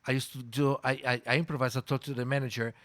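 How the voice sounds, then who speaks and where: A man with an Italian accent, a native Italian speaker, talking in a casual interview. He tells lively stories, repeats words and stops to search for English words. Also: medium pitch, fast talker, no echo, studio quality. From Italy. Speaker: guest.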